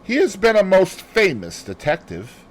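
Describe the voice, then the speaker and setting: Man acting an earnest gentleman, pitch raised. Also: huge pitch swings, normal speed, heavily acted. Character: earnest gentleman narrator proposing a detective to friends.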